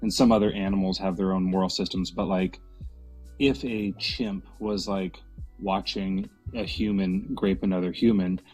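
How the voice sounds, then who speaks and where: A low-voiced man in an online debate, talking loosely and jokingly, his points strung together with "like" and "right?". Faint music hums behind him. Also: steady tone, normal speed, darkish tone. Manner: rambling, joking, many "like"s. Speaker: debate guest.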